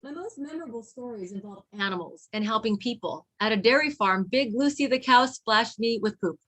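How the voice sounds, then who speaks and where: Woman with highish voice reading storybook. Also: lively intonation, brisk, fairly bright tone. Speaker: read-along co-reader. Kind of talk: children's picture book read aloud.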